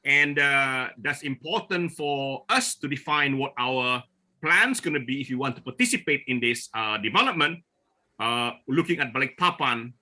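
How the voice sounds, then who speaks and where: A man from Borneo presenting at a forum over a video call, speaking haltingly with many restarts and fillers. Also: medium pitch, pushed and bright. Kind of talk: online presentation talk.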